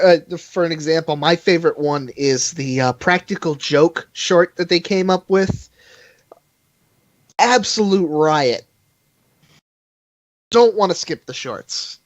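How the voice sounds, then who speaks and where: Guy w a slightly high voice chatting about stuff he recommends, kinda rambly, dry dull call audio. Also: dry recording. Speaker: podcast panelist reviewing a fan audio drama. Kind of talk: casual podcast review chat.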